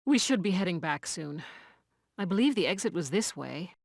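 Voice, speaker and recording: A woman's voice: fairly low pitch, sing-song, moderate pace, gravelly, no room sound, studio quality, full character voice. An actress playing a deferential, easily flustered female soldier in a video game, speaking politely and formally. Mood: businesslike. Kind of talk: video game cutscene acting.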